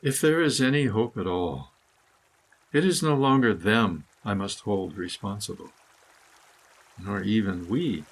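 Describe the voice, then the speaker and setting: A man reading his own scripted meditative prose poem in a solemn, deliberate manner with dramatic phrasing. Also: on the low side, lively intonation. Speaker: author reading own essay.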